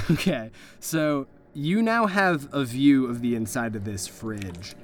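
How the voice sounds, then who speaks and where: A man's voice: medium pitch, slow, faint music behind. A man narrating a horror tabletop game as game master, in a slangy, conversational storytelling way.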